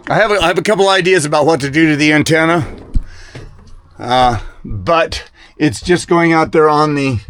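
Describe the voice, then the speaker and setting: An older man chats casually about his hobby in a mid-pitched voice. He takes his time, speaking slowly and haltingly. His sentences ramble and often drift off unfinished. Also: slight background noise. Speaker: CB radio hobbyist. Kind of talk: casual unscripted product talk.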